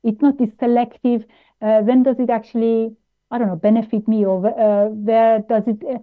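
A woman from Iran, raised in Austria, speaking as an interview guest in a halting way with many fillers and restarts. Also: on the high side, little pitch movement. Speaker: guest, plant-based nutritionist. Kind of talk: interview conversation. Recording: tinny phone-like line.

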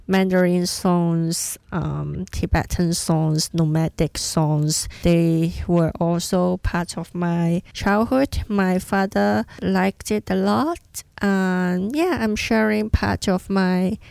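A woman whose first language is Cantonese presents a music radio show, speaking slowly and haltingly with plenty of pauses and fillers. Her voice is smooth and resonant with a gravelly edge. The sound is dry and close. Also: on the low side, fairly even intonation, darkish tone, no echo. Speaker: radio host.

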